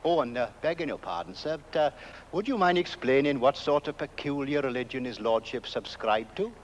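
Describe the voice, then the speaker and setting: An actor playing an excitable, deferential village constable with a British accent and a rambling, folksy way of talking. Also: male voice, mid-range voice, a little fast, slight background noise, lightly acted. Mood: deferential, flustered. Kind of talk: 1970s horror comedy film acting. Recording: muffled old film soundtrack.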